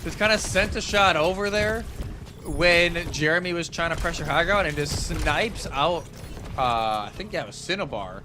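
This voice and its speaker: A man giving live analytical esports commentary in a casual, conversational, somewhat halting way. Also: on the high side, lively intonation, over music.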